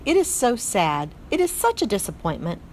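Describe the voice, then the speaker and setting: A woman's voice: medium pitch, huge pitch swings, normal speed, slight background noise, rough recording. A woman voicing a vain, melodramatic mother mouse with a put-on French accent, wailing and sighing.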